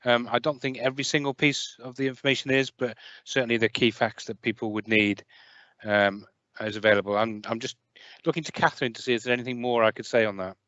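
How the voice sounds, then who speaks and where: A health service manager answering questions in an online meeting, talking hesitantly with many fillers and restarts. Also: male voice, medium pitch, steady tone, quick pace, bright, forceful tone, no echo. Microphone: video call. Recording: muffled, dry video call audio.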